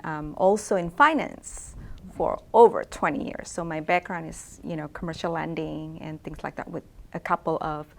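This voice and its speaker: Woman, low gravelly voice. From Indonesia. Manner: hesitant, many fillers and restarts. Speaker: real estate agent, guest. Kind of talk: casual interview answers.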